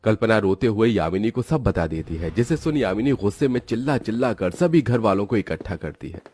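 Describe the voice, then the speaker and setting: A man's voice: fairly low pitch, animated, a little fast, darkish tone, slightly rough. A man narrating a scripted children's moral story as its storyteller.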